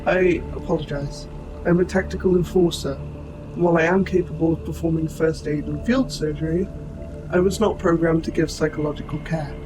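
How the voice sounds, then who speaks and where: Robot soldier, male actor: fairly high male voice, formal and precise, protective and gentle, audio drama with prominent music underneath. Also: steady tone, close to an everyday voice, poor audio. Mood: formal, measured. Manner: formal, precise, measured wording. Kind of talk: scripted audio roleplay, addressing the listener.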